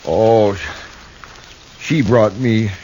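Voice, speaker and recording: An actor playing a timid, gentle fugitive in a 1950s radio western, with an American accent and a halting, pleading manner. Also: male voice, lowish voice, highs cut off. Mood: relieved. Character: frightened, timid, gentle young fugitive cowhand. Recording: noisy old radio recording.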